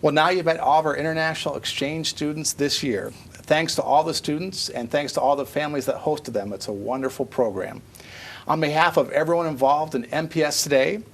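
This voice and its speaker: A man hosting a school district TV program, interviewing students in a studio. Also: fairly high pitch. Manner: brisk, conversational. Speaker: TV host.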